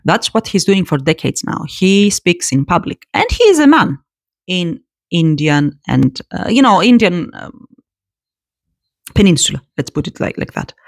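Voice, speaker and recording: Woman commentator, lower voice with a lot of swing in it, dry close sound, restarts phrases as she talks. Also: breathy edge, no room sound. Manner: animated, repeats words and restarts phrases. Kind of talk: casual spoken commentary.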